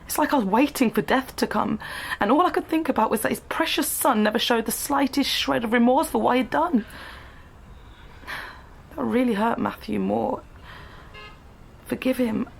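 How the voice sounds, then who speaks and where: An actress playing a wounded, bitter grown daughter in a radio drama, speaking with subdued resentment. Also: female voice, a little high, moderate pace, vocal fry, long pauses, husky. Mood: bitter, pained.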